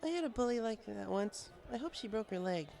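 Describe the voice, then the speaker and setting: A man's voice: high-pitched, animated, a little fast, gravelly, darkish tone, pitched above the performer's own voice. A man voicing a studious, polite young female pony with a precise, bookish manner in a storybook reading. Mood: tipsy, confiding.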